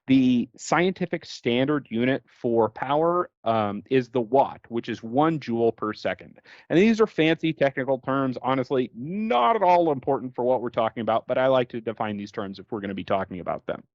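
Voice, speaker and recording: A man's voice: mid-range voice, lively intonation, moderate pace, a little mellow, no echo. American man explaining tech, dry dull audio. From United States. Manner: conversational, colloquial, explains with everyday examples. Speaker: technical trainer presenting a slide course. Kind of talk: informal explanatory slide lecture.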